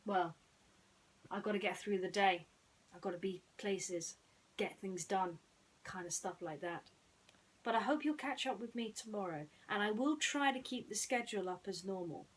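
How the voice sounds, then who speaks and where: A woman hosting and narrating bedtime stories in a gentle storytelling style. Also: medium pitch, normal speed, rough recording.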